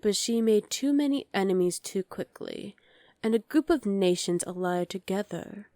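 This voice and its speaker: A woman's voice: medium pitch, expressive, moderate pace, mellow, no echo, studio quality, lightly acted. A woman playing a dominant evil sorceress queen in an ASMR roleplay, commanding and condescending with cool menace. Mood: coolly imperious. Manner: commanding, condescending, coolly threatening.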